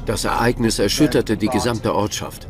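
A man's voice: fairly low pitch, normal speed, loud music behind the voice. A man reading scripted lines as a voice actor in a true crime documentary dub.

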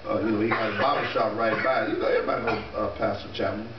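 A middle-aged pastor talks in a loose, chatty way from behind a church podium, breaking into laughs and saying amen often. His voice carries through a roomy sanctuary and is picked up from far off. The sound is dull with a steady hum of room noise underneath. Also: fairly low pitch, rapid-fire. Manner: chatty storytelling with laughs and repeated amens. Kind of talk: casual talk from the pulpit. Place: small church sanctuary, indoors. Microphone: podium mic at a distance. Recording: echoey church room, distant camera mic, dull.

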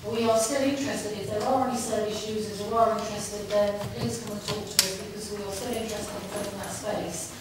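A woman giving a measured, explanatory formal answer as an executive at a public meeting. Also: mid-range voice. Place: large wood-panelled council meeting room. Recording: echoey, noisy meeting room, distant mic.

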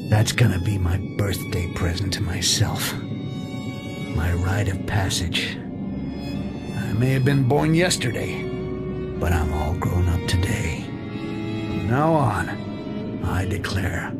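A gravelly goblin rogue from a fantasy video game. He speaks slowly and swings widely in pitch, sardonic and scheming, as if muttering to himself. Loud music plays behind him. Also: wildly swooping pitch, heavily acted. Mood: sardonic defiance. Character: cynical, foul-mouthed goblin rogue, bitter and scheming. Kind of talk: dramatic video game acting.

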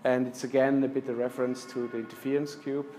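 A man in his fifties presenting slides in a lecture room, speaking with many restarts and fillers, trailing off softly at the ends of phrases. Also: mid-range voice, flat delivery, quick pace, a little hiss or hum. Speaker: architecture lecturer. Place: lecture room with projector screen.

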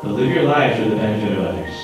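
A man's voice: fairly low pitch, animated, racing. Man preaching leadership in an echoing hall. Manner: earnest, building to emphatic calls. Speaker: guest speaker. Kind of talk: motivational speech. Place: large school auditorium. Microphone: podium mic, recorded from the hall. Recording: echoey auditorium from far off, music under.

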